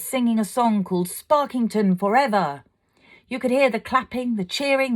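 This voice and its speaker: A woman narrating a children's storybook aloud, with expressive, dramatic delivery. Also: on the high side. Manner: expressive, dramatic, swelling for excitement and hushing for suspense. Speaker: amateur storyteller.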